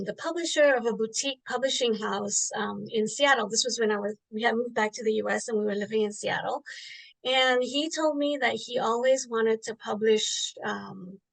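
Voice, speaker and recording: A middle-aged woman author who grew up in Singapore answers interview questions in a relaxed, reflective way. Her voice carries a light gravelly fry, and she often restarts her sentences and fills pauses with "um.". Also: fairly high pitch, rough recording. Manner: thoughtful, many fillers and restarts. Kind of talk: interview answer, casual storytelling.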